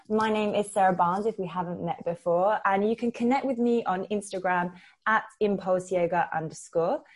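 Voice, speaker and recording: A woman hosting a podcast, opening the episode with a friendly intro and putting questions to her guest. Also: mid-range voice. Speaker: podcast host.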